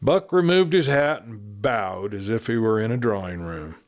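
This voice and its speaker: A man reading a Western novel aloud in a low, measured storytelling voice. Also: lively intonation. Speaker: audiobook narrator.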